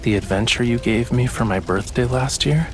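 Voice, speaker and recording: An actor playing a tender, teasing young male artist in a romantic game, speaking in a soft, intimate, unhurried way. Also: mid-range voice, music in the background, lightly acted. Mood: relaxed, wry.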